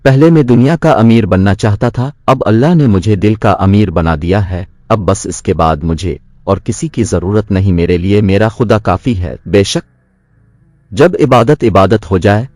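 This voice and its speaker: Low-voiced male storyteller in a dry recording. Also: moderate pace, a little mellow, no echo. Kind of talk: scripted moral story narration.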